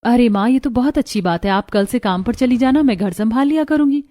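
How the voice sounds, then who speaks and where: A woman's voice: fairly high pitch, a little fast, dark tone, lightly acted. A woman playing a dutiful, caring young daughter from a poor family, speaking earnestly and obediently in a moral story. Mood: willing. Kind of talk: dramatized Hindi moral story acting.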